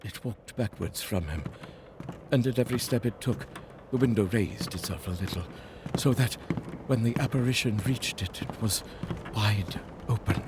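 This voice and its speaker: A man narrating a classic story as a reader, in a measured, theatrical storytelling manner. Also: lowish voice, background noise. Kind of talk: scripted dramatic storybook narration.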